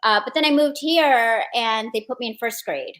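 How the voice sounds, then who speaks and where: High-voiced American woman interview guest. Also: moderate pace, fairly bright tone. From born in India, grew up in the United States. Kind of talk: casual personal storytelling.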